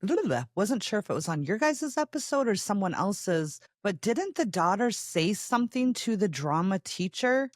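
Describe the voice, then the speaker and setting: A woman's voice: mid-range voice, very animated, moderate pace, few pauses, no room sound, studio quality. A woman chatting casually as a podcast guest, conversational and a little hesitant. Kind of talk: casual chat.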